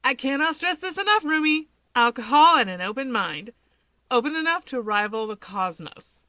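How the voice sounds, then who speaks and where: Woman playing a harried, bright-toned male hero. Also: sits high, animated, normal speed, no echo, pitched above the performer's own voice. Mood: emphatic, wary. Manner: exasperated, emphatic. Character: harried, resigned adult male hero, pleading and wry.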